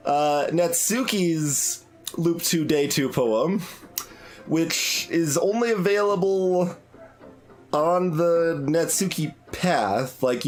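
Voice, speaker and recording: A man giving casual, analytical game commentary, hesitating and correcting himself as he thinks aloud. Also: a little high. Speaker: streamer playing through a game.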